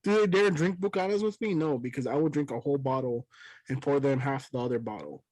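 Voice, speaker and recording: A man's voice: mid-range voice, fast, darkish tone, a little hiss or hum, low-quality recording. A man whose first language is Spanish, with a Mexican American accent, joking and swearing emphatically in a casual podcast chat. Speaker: podcast co-host.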